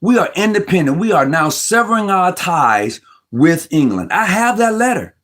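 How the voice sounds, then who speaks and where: A man speaking as host of an informal talk, pressing his view with emphasis. His voice sits a touch high, and he stumbles and restarts words as he reasons aloud. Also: big pitch swings, normal speed, a bit bright. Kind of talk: casual Masonic discussion.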